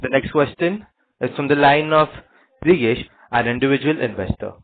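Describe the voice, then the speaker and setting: A man's voice: mid-range voice, animated, normal speed. A man making formal, polite announcements as a conference call moderator. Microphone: conference phone call. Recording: narrow tinny phone line.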